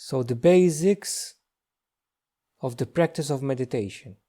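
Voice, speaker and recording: A man leading a guided meditation as a teacher, speaking gently and slowly with long pauses. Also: mid-range voice. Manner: slow, hushed, gentle delivery with long pauses.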